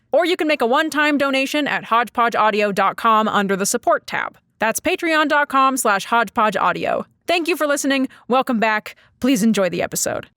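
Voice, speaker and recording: A woman with a fairly high, very animated voice presents a scripted podcast announcement. The sound is dry and close, with hardly any room in it. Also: dry recording. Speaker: podcast writer and director, presenting.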